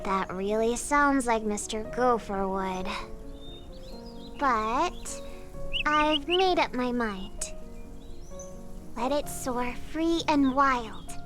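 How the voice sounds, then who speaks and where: An actress voicing a gentle, earnest and wistful young woman in a video game, speaking softly and with measured care. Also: a little high. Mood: wistful, hopeful. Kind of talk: video game voice acting, English dub. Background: background music.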